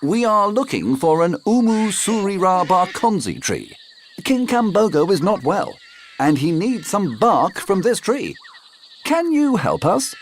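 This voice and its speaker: A man's voice: high voice, very animated, measured pace, slight background noise, pitched above the performer's own voice, heavily acted. A man voicing a kindly, cheerful uncle in a raised, eager voice.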